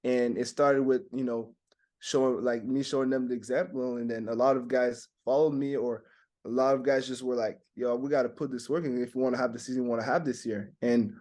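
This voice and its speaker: Flat-voiced young man, halting answers. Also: mid-range voice. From Montreal, Canada. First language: French. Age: young adult. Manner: halting, many "you know" fillers and restarts. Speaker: basketball draft prospect. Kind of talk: casual interview answers.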